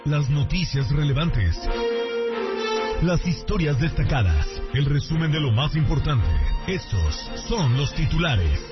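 Male radio announcer over loud music. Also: a little high, sing-song, a little slow. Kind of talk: scripted promotional radio intro. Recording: slightly dull, loud music bed.

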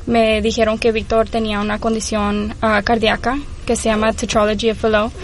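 A woman's voice: on the high side, completely flat delivery, brisk. A young woman from Houston telling her story as an interview guest, hesitant and full of filler sounds. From Houston, Texas. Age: young adult. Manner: hesitant, many filler sounds, mixes English terms into Spanish. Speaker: guest, mother of a patient. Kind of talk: personal account in an interview.

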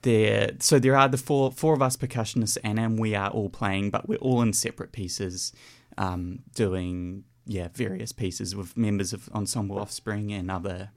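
A young man with a New Zealand accent, a percussionist chatting casually in a radio interview, relaxed and full of ums. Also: lowish voice, moderate pace. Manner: relaxed, hesitant with many ums. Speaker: percussionist guest.

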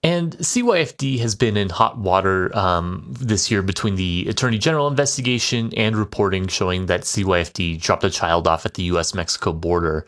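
A man's voice: sits low, a little fast, hardly any pauses, fairly bright tone. A man asking questions as a radio interviewer.

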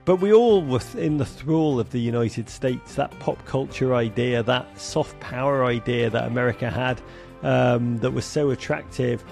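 A man's voice: mid-range voice, moderate pace, gravelly, darkish tone, faint music behind. A man from England with a Liverpool accent, a soccer broadcaster and author, talking animatedly in an interview with many fillers. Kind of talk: conversational interview.

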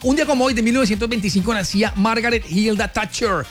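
A man's voice: sits high, quick pace, faint music behind. A Colombian man hosting a morning radio show, chatting in an exclamatory, folksy way and addressing listeners directly. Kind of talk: lively radio show chat and presenting. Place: radio studio.

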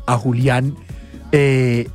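A man interviewing a guest, asking measured questions in formal address. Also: mid-range voice, level tone, a little mellow, faint music behind.

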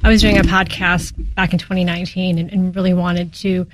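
A woman interview guest explaining her work informally, in halting conversational phrases. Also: fairly low pitch, little pitch movement, background noise. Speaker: interview guest, breathwork coach.

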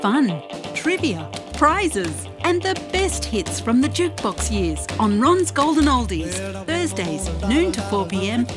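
Woman doing a bouncy sing-song promo, high voice, music under. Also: sing-song, unhurried. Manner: bright, sing-song listing. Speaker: show promo voice. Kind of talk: scripted radio promo.

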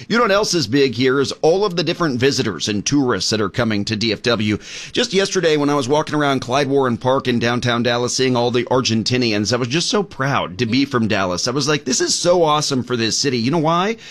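A man's voice: mid-range voice, animated, fast talker, a bit bright. A man hosting morning radio news, chatting in an upbeat, casual way.

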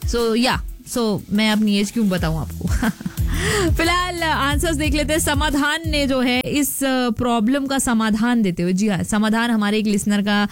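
A woman's voice: a little high, a little slow, faint music behind. A young Indian woman hosting a radio show in a casual, playful, chatty way, slipping English phrases into her talk. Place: radio studio.

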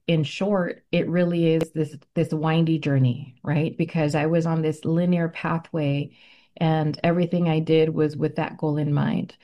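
Low-voiced, mellow woman, native Spanish speaker. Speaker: ethnic studies educator, interview guest. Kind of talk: reflective interview answers.

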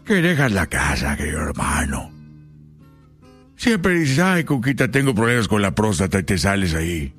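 A man's voice: lowish voice, sing-song, normal speed, fairly bright tone. A man doing a comedic impression of an old Mexican ranchera singer, sermonizing his brother repetitively in a Mexican accent. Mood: preachy, concerned. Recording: music playing behind the voice.

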